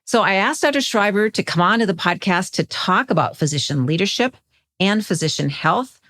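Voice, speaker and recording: A woman's voice: mid-range voice, animated, normal speed, fairly bright tone. A woman hosting a podcast, reading scripted introductions and putting questions to a guest. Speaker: podcast host.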